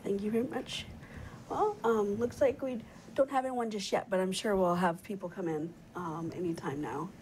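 A woman's voice: medium pitch, normal speed, a little mellow, a little hiss or hum, low-quality recording. A woman in her thirties hosting a live studio webcast panel, chatty with frequent fillers and restarts, on a lapel mic. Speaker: host, program coordinator. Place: TV studio set.

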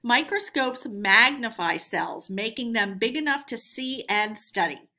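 Female educator, fairly high and crisp-bright, expressive read-aloud of scripted lesson for children. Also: measured pace. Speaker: teacher reading a lesson aloud.

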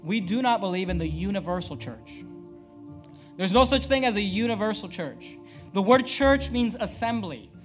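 Youngish pastor guy with a high voice, preaching hard at the pulpit, loud then hushed, faint music under it. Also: expressive, moderate pace. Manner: emphatic, rhetorical questions, swings from loud to hushed. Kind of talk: fiery sermon. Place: church sanctuary at a pulpit. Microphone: podium mic.

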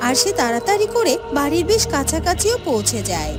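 A woman narrates a children's fairytale in a high, animated voice. Her pitch moves a lot, and music plays clearly behind her. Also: brisk. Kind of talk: scripted children's story narration. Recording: music under the voice.

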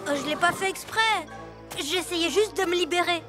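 A woman's voice: very high voice, steady tone, moderate pace, over music. A woman voicing an earnest, indignant young boy superhero who protests that he is misunderstood, in a French cartoon dub. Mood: hurt, indignant.

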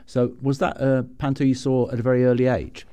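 A man's voice: medium pitch, level tone, brisk, warm tone, clear, resonant tone. A man hosting a podcast, asking questions and chatting casually in an interview.

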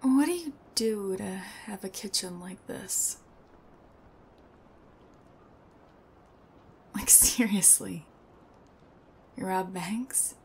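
A woman's voice: mid-range voice, animated, takes it slowly, a little mellow, slight background noise. A young woman playing a nervous, chatty, strange young female thief in an intimate ASMR roleplay, with a hesitant, rambling, awkward delivery. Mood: wry, soft.

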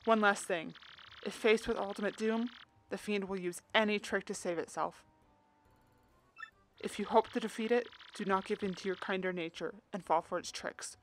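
A woman's voice: medium pitch, moderate pace, a little hiss or hum, close to an everyday voice. A woman voicing a timid, earnest young male turtle in a fan dub of a video game, reading a letter and yelping in panic. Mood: solemn reading. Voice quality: earnest, excitable, gets loud when startled. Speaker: fan voice actor.

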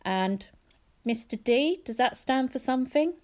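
A woman's voice: high-pitched, lively intonation, unhurried, darkish tone, voice pushed up. A woman voicing a polite, confused young boy hero in a storybook, hesitant and questioning. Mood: awkward. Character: eager, puzzled, polite twelve-year-old boy hero.

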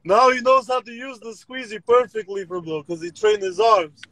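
A man's voice: extremely high pitch, moderate pace, crowd noise, poor audio. A man in his thirties with an Albanian accent, chatting casually on a video call. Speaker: panel guest.

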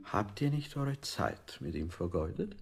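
Insinuating interrogator with swooping intonation. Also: male voice, on the low side, sing-song, a little slow, vocal fry, soft background music, heavily acted. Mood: probing. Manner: insinuating, probing. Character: controlling interrogator, coaxing with menacing politeness. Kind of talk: 1960s German experimental radio play acting.

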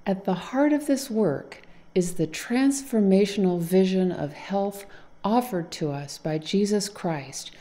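A middle-aged woman reads narration at an unhurried, deliberate pace. Her voice is mellow and dark-toned, close on a lapel mic in a quiet room. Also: mid-range voice, expressive. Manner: slow, measured delivery. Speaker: narrator, council director. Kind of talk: scripted narration to camera. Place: indoor room with wall decor.

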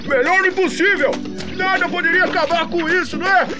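A man's voice: extremely high pitch, moderate pace, loud music behind the voice. A man voicing a big, dim, good-natured gorilla in a Brazilian cartoon dub, whiny, flustered and goofy. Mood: excited, panicky.

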